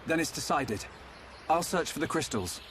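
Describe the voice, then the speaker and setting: An earnest young game hero, with a fairly high voice that moves around a lot. He is kind and a little hesitant, heard over clear background ambience. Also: lively intonation, normal speed, fairly bright tone, a little hiss or hum. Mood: determined. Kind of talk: video game cutscene acting.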